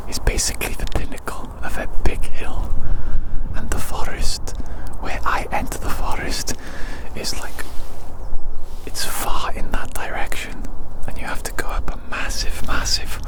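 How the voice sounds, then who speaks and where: An ASMR creator rambles in a breathy, unvoiced whisper, repeating phrases slowly and soothingly while outdoors. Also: rough recording. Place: outdoors in winter woods and hillside field.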